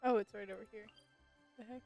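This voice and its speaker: A woman's voice: mid-range voice, fairly even intonation, a little fast, darkish tone. Wry woman streamer over faint music. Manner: wry, thinking aloud. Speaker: game streamer. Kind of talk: casual live gameplay commentary.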